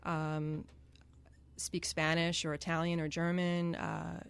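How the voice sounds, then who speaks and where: A woman's voice: on the low side, monotone, takes it slowly, gravelly, clear, resonant tone. A woman from Connecticut, a singer-songwriter, chatting as a guest in a radio studio interview, with many ums and easy laughs. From Greenwich, Connecticut.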